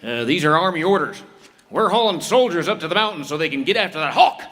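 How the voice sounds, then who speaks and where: A man's voice: a little high, animated, quick pace, fairly bright tone. An actor playing a talkative, folksy railroad fireman who rambles a bit, in a stage reenactment of an old-time radio drama. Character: chatty male railroad fireman sidekick. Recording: reverberant theater hall.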